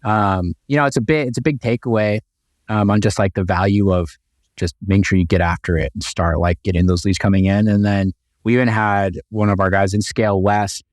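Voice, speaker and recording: A man's voice: fairly low pitch, steady tone, fairly quick, dry recording, studio quality. A man co-hosting a real estate podcast, chatting casually and upbeat with lots of fillers and restarts. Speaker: podcast co-host.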